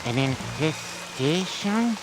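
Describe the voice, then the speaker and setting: An actor voicing a gloomy, hunchbacked butler with a slow, solemn, ominous delivery. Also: male voice, on the high side, very animated, background noise.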